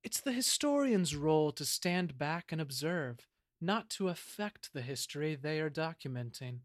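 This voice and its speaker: A man's voice: on the high side, expressive, moderate pace, dry recording, clean studio sound, close to an everyday voice. A man playing an earnest, self-important historian who narrates in a formal, declamatory way in an audio drama. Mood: earnest, grandiose.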